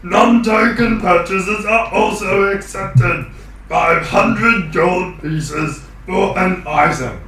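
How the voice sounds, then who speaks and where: A man voicing a loud, guttural penguin-like alien shopkeeper hawking magic items at a market stall. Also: takes it slowly, very echoey, far from the mic, faint music behind, full character voice, rough recording. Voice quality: guttural, full-throated. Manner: booming market-stall announcing. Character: loud male slug-bodied penguin shopkeeper hawking wares.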